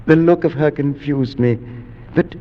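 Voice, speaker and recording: An actor playing a grief-crazed, morbid gentleman, the last of his line, in theatrical, tremulous 1940s radio horror style. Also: a little high, measured pace, lightly acted. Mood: feverish, dreamy. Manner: theatrical, tremulous, swings from whispering to anguished outbursts. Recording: dull lo-fi 1940s radio recording.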